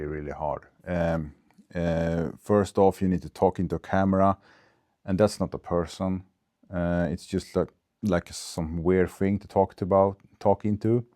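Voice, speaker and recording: A Swedish man in his forties, speaking English as a second language, thinking aloud in an unscripted vlog with many pauses, fillers and restarts. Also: sits low, dark tone, dry recording, clean studio sound. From Sweden. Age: 40s. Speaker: vlogger. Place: recorded on a phone camera. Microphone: small clip-on wireless mic.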